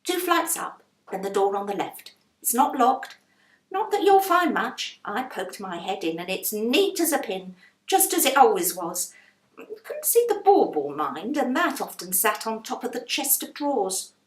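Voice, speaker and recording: A woman's voice: on the high side, very animated, unhurried, roomy sound, voice pushed up. A woman voicing a chatty, blunt working-class London landlady in a children's storybook. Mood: wary, brisk. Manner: chatty, blunt, colloquial non-standard grammar.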